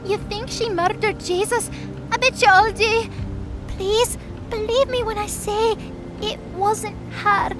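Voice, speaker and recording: A woman acts as a young winged girl in an audio drama, with a very high voice. She is earnest and stubborn, arguing with naive passion. Music plays clearly behind her. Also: steady tone, slow pace. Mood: defiant, pleading. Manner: impassioned, naive, argumentative. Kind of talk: audio drama character acting.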